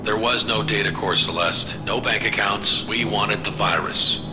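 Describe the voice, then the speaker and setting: Actor doing cold-blooded spy boss guy in an audio drama, lowish voice, controlled, never sorry, music behind him. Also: lightly acted, low-quality recording. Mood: firm. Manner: measured, authoritative, justifying. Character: controlled, unapologetic male spy agency chief.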